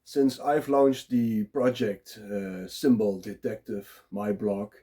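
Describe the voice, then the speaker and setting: A man with a Dutch accent, talking live and unprepared as a blogger, pausing often with filler sounds. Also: on the low side, fairly even intonation, warm tone. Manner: halting, many filler sounds. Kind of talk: unscripted solo talk.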